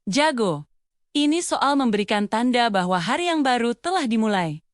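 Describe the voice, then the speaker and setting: Lady doing a little cricket guy from a fable, high lively voice, super confident, friendly and encouraging. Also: moderate pace, no echo, clean studio sound, close to an everyday voice. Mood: proud, encouraging. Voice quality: loud, spirited.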